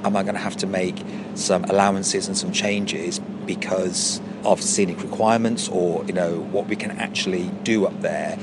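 An English man in middle age with a low voice, talking casually and effusively on location. He repeats himself for emphasis, and there is clear ambient and vehicle noise behind him. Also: normal speed. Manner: effusive, excitable, emphatic repetition. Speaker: pantomime performer being interviewed. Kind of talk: casual on-location interview chat. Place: inside a large tent, on location.

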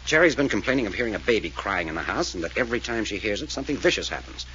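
An actor playing a dry, no-nonsense detective with a wry manner, in 1940s radio acting style, on an old dull recording. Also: male voice, on the low side, very animated, very fast, pushed and bright. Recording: dull old radio transcription with faint hiss.

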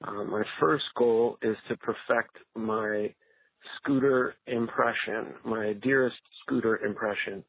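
Slow, creaky male caller on a phone line. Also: fairly low pitch, steady tone, no room sound. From Canada. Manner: hesitant, halting, trailing off. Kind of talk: casual recorded call-in message. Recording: muffled tinny phone line.

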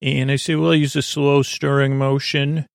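A man hosting a bedtime podcast in a creaky voice, soothing and lulling, with a halting, stammering, meandering way of talking. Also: medium pitch, little pitch movement, dry recording, clean studio sound. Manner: halting, stammering, trailing into tangents; soothing, lulling, gently whimsical. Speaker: host of a bedtime story podcast. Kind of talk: rambling, meandering sleep-podcast monologue.

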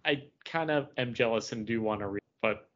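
Bright, forceful man bantering on a podcast. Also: medium pitch, moderate pace. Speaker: podcast co-host. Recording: dull lo-fi podcast audio.

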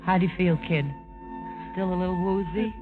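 A woman's voice: fairly low pitch, fairly even intonation, moderate pace, natural voice. A woman playing a blunt, wisecracking, big-hearted nurse in a 1950s radio drama. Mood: firm, comforting. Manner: blunt, slangy, reassuring. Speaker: actress and narrator. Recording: muffled old radio recording, music behind.

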